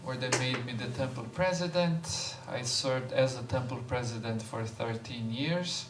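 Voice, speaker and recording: A man in his fifties, a visiting monk, speaking in a relaxed, unhurried way with light laughs as he introduces himself in a temple hall. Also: mid-range voice. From Croatia. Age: 50s. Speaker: visiting monk giving a talk. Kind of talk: informal talk, introducing himself. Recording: echoey room, voice far from mic, background noise.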